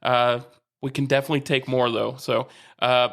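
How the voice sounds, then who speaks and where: A man's voice: mid-range voice, flat delivery, moderate pace, vocal fry, a bit bright. A man co-hosting a casual podcast, talking sports in an easygoing, teasing way. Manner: easygoing, teasing, uses fillers. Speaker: podcast co-host.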